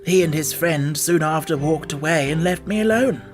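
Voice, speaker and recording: A man's voice: a little high, moderate pace, soft background music, lightly acted. A man voicing an earnest, simple-hearted scarecrow made of straw, telling things in a storybook reading. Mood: forlorn.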